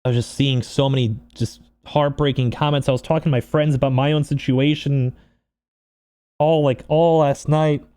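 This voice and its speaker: A man speaking off the cuff in a personal vlog, upset and close to crying, halting and repeating himself earnestly. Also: mid-range voice, fairly even intonation, dark tone, smooth. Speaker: streamer and video creator. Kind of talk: unscripted personal vlog monologue.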